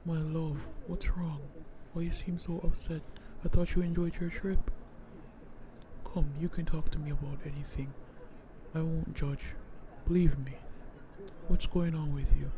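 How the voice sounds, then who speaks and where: A man's voice: fairly high pitch, level tone, measured pace, noisy background. A man voicing an easygoing young guy who reassures and coaxes. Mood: reassuring. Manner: laid-back and coaxing.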